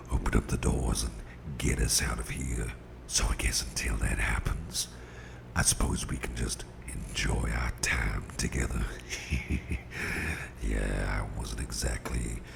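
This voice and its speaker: Guy doing a super gravelly boss for asmr roleplay, slow soothing murmur, gruff but caring, kinda whispery. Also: a bass voice, background noise, heavily acted. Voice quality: gravelly, breathy half-whisper. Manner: soothing, unhurried, folksy, murmured. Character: gruff but tender, protective businessman boss, adult man. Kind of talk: ASMR roleplay, intimate personal attention.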